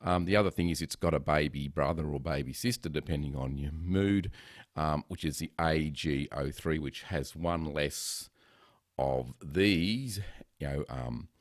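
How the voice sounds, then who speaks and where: Deep-voiced man, rambling gear chat. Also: a little slow, dry recording. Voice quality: loud resonant voice. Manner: rambling, many ums and self-corrections. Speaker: podcaster. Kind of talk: casual gear talk to camera. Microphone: headset microphone close up. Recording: dry, close sound.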